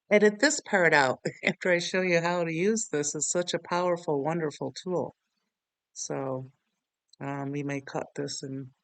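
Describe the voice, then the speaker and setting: Chatty, rambling man with a smooth voice. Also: a little high, fairly bright tone, dry recording. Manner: rambling, chatty, self-deprecating. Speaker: tutorial host. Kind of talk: casual screen-recorded software tutorial.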